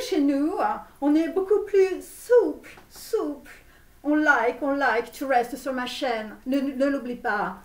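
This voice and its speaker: A woman playing a comic online tarot reader with a put-on Romanian accent, insistent and repetitive, halting theatrically as she reassures her viewers. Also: high-pitched. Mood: pushy, reassuring. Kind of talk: parody tarot reading spoken to viewers. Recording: roomy echo, distant microphone.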